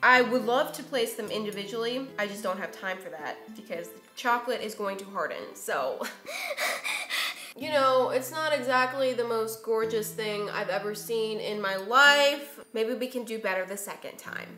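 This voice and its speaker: A woman in her twenties chats casually as she hosts a home baking video, self-deprecating and playfully exasperated. Her voice is bright and on the high side. It echoes a little in the kitchen, with light music behind. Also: normal speed, hardly any pauses. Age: 20s. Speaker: host of a baking video series. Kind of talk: casual chatty how-to vlog. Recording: roomy kitchen echo. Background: background music.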